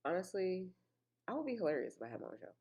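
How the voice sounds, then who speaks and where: A woman's voice: fairly low pitch, big pitch swings, quick pace, darkish tone, slightly rough, lo-fi sound. A woman hosting her own podcast in a casual, chatty way, full of asides to listeners and still a little congested. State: slightly congested with a cough. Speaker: podcast host. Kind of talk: casual solo podcast chat.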